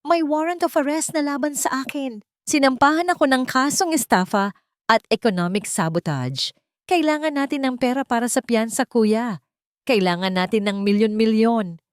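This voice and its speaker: A woman voicing a desperate younger sister in an audio drama, urgent and pleading, shrill and cracking with fear. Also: normal speed, smooth, no room sound, clean studio sound, natural voice.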